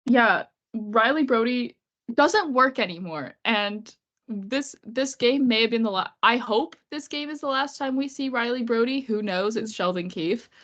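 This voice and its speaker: A woman's voice: fairly high pitch, animated, unhurried, a bit bright. Congested chatty woman on a lo-fi podcast. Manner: chatty, laughs often, sarcastic asides. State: congested and sniffly with a cold. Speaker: podcast co-host. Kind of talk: casual chat about hockey.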